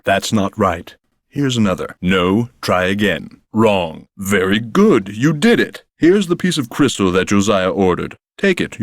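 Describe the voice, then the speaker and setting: A pompous, fussy psychic, low voice, dry close sound, game dialogue. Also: male voice, dry recording. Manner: pompous, precise, mildly sarcastic. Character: pompous fussy male psychic, proud and prickly. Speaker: psychic character in a mystery game. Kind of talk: video game voice acting.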